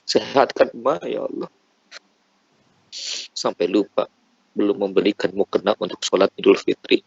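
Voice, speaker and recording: A man's voice: fairly low pitch, normal speed, gravelly, husky, no room sound, muffled recording. A man leading a congregation in an emotional prayer, pleading fervently with repeated invocations. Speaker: prayer leader.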